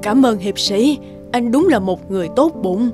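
A woman's voice: a little high, animated, moderate pace, music in the background, natural voice. A woman voicing a frail, grateful old peasant mother in a cartoon. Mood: tearful gratitude.

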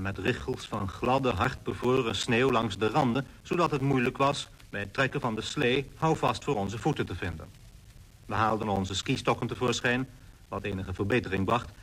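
A man's voice: mid-range voice, fairly bright tone, slightly rough, poor audio. A man playing an expedition member who recounts a hard trek in a scripted radio drama.

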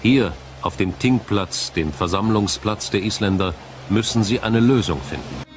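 A man reading a scripted, dramatic documentary narration in a measured, solemn storytelling manner. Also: lowish voice, animated, noisy background.

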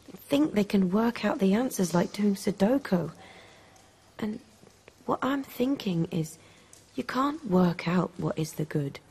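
An actress playing an indignant young philosophy student in a radio drama, blunt, earnest and plain-spoken. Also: female voice, medium pitch, animated, a little slow, darkish tone, slightly rough, full character voice.

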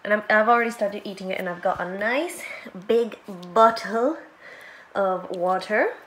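A woman in her twenties chatting casually to her phone in a small bedroom, full of "like" and little giggles, her voice a bit croaky. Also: mid-range voice, normal speed, fairly bright tone, rough recording. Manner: chatty, lots of "like", giggly asides. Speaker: vlogger. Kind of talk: casual vlog chat. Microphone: phone held at arm's length.

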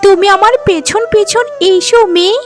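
A woman's voice: extremely high pitch, fairly even intonation, normal speed, soft background music. A woman playing a kind, helpful magical fairy in a cartoon fairy tale, with a caring, reassuring delivery.

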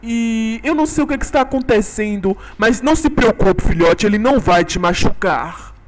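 A man voicing a gentle, worried father dinosaur who reassures his young hesitantly. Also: high voice, animated, moderate pace. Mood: reassuring.

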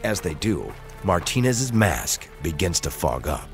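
Low-voiced man narrating over music. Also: very animated, a little slow. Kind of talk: scripted dramatic narration.

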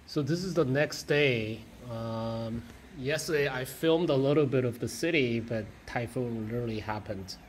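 A man's voice: medium pitch, a little hiss or hum. Man vlogging on a walk through city streets. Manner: halting, many fillers and self-corrections. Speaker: travel vlogger. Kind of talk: casual walking vlog narration. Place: city streets, mall and subway station.

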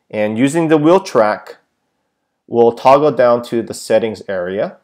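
A man's voice: fairly low pitch, darkish tone. A man giving a plain, methodical step-by-step how-to narration, with frequent filler sounds, as a tech tutorial presenter.

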